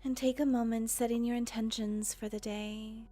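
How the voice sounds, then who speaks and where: A woman leading a guided meditation in a gentle, breathy voice with long, unhurried pauses. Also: a little high, little pitch movement. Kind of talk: guided meditation, soothing narration.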